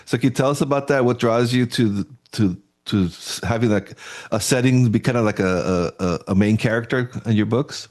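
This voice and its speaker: A man's voice: mid-range voice, brisk, darkish tone. A man hosting an interview podcast, asking casual, easygoing questions that often stumble and repeat words. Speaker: podcast host.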